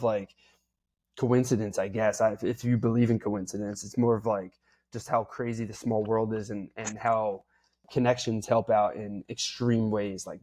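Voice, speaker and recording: A man's voice: lowish voice, a little mellow. A man hosting a podcast, chatting casually and asking his guest open questions. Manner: friendly, uses fillers, asks open questions. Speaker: podcast host. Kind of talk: casual interview chat.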